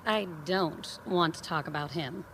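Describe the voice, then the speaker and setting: A woman's voice: deep voice, unhurried, a bit bright, a little hiss or hum, poor audio. An actress playing a sassy, self-assured young woman with an American accent in a radio mystery, cool and sardonic. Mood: cool, dismissive. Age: young adult. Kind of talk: radio mystery drama dialogue.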